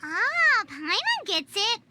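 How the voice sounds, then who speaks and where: A woman's voice: extremely high pitch, big pitch swings, slow pace, very noisy, full character voice. A woman voicing a tiny childlike fairy companion in a video game, blunt and exclaiming. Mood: eager, curious. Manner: refers to self in third person, exclaiming. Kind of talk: game voice acting.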